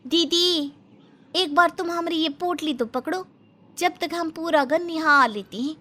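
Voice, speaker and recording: A woman playing a naive, cheerful young village sister-in-law in an animated family story, with a rustic Hindi dialect and simple, earnest exclamations. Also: very high-pitched, measured pace. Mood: awestruck.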